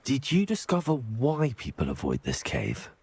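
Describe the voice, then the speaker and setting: A man playing an earnest, steady teenage wizard student in a video game, measured and polite. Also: medium pitch, breathy edge, exaggerated voice. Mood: calm, curious. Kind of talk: video game cutscene acting.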